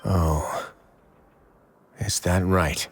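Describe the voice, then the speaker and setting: An actor playing a composed, commanding duke who runs a prison fortress in a video game, speaking in a measured, authoritative way. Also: male voice, sits low, steady tone, a little hiss or hum, exaggerated voice. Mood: calm, deliberate. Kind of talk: dramatic game voice acting.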